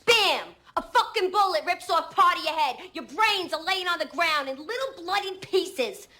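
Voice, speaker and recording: A woman's voice: extremely high pitch, animated, normal speed, sharp tone, full character voice. An actress playing an exasperated, foul-mouthed young woman with a New York accent in a film, emphatic in her delivery. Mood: indignant sarcasm. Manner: emphatic storytelling, swearing. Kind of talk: film dialogue.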